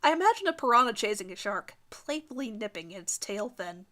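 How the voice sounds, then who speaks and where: A woman's voice: mid-range voice, big pitch swings, a little fast, pushed and bright. A woman giving a dramatic first-person reading of her own fan fiction story.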